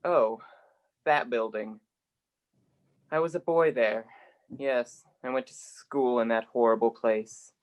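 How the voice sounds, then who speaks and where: Man as grumpy old miser, blustery, grumbling, mid-pitched, radio play acting. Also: slow, pauses often, natural voice. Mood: subdued.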